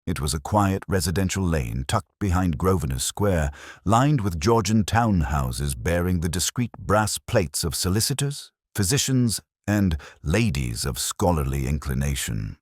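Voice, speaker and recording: A man with a very deep, slightly husky voice narrates a detective story. His reading is measured, literary and dramatic, with plenty of melodic movement. It is an audiobook style of delivery. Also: big pitch swings, dry recording, clean studio sound. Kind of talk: scripted first-person detective story narration.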